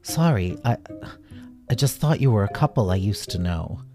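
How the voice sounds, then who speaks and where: A storyteller voicing a small fairy woman in a children's tale, apologetic and halting. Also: sits low, lively intonation, dark tone, faint music behind.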